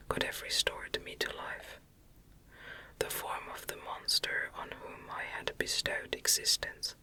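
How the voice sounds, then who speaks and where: A woman reads a gothic novel in a soft, breathy ASMR whisper, with an unhurried, calming delivery. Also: poor audio. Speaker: audiobook reader.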